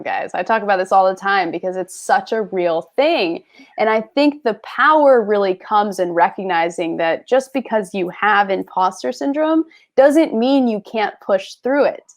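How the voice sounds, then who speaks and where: Woman guest, upbeat and encouraging, chatty advice. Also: medium pitch. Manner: upbeat, encouraging, direct to viewers. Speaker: guest creator. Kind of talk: casual advice on a video call.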